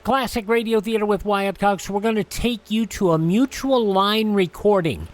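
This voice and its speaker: A man's voice: high voice, moderate pace, music in the background. A man hosting a radio program, introducing the show and its segments.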